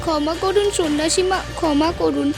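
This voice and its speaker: A brave cartoon big sister played by a woman, with an extremely high, girlish voice. She is earnest and protective, imploring as she speaks, with light music underneath. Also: steady tone, brisk, creaky. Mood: pleading. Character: brave, pleading elder sister, a young village girl. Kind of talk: Bengali fairy-tale cartoon dialogue.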